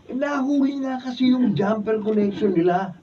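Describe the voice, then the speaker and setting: A man's voice: sits high, moderate pace, dark tone, husky. A man with a Filipino accent acting in a radio drama as a hapless young handyman. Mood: sheepish. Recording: distant, muffled room recording.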